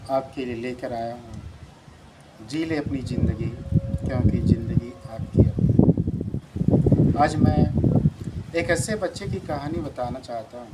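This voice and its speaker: A middle-aged man, a school principal, telling a story about a pupil in an earnest, measured way and ending with advice for parents. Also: mid-range voice. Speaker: school principal and channel host. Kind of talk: reflective storytelling monologue with a moral. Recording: noticeable background noise.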